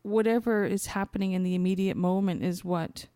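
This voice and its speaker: A woman's voice: mid-range voice, steady tone, a little fast, warm tone, clean tone, no room sound, studio quality. A woman podcast host talking in a casual, rambling spiritual monologue, conversational and thinking aloud as she searches for her words.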